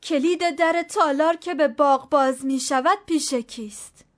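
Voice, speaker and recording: A woman's voice: high voice, voice pushed up, lightly acted. A woman voicing a startled young man, master of the house, asking curt and demanding questions.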